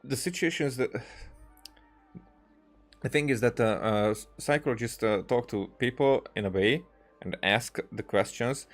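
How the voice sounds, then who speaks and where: A man giving casual let's-play commentary, rambling and often halting as he searches for his words. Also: medium pitch. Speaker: game streamer, commentator. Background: faint game music.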